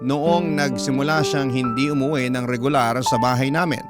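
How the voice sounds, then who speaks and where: A man's voice: mid-range voice, fairly even intonation, moderate pace, lightly acted. A man with a Filipino accent narrating a sorrowful family story as a letter writer in a radio drama. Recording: loud music behind the voice.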